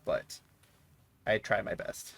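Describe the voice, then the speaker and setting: A man's voice: takes it slowly, fairly bright tone. A man who is not a native English speaker giving casual, rambling let's play commentary. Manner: rambling, mumbling asides with fillers. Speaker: gaming streamer.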